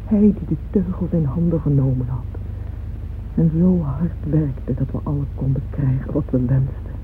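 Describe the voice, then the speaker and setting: A woman's voice: sits low, music in the background, close to an everyday voice. An actress playing an anguished, remorseful mother in a radio play, confessing to a former lover with solemn, intense emotion. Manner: solemn, slow, intensely emotional delivery with whispers. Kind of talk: dramatic radio play monologue. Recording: tinny, noisy old radio drama recording.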